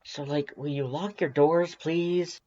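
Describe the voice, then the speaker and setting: A fierce, protective monster woman, as put on by an audiobook reader. She is blunt and accusing, with pitch pushed well up. The sound is a little dull. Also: fairly even intonation, slow, mellow. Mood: pleading.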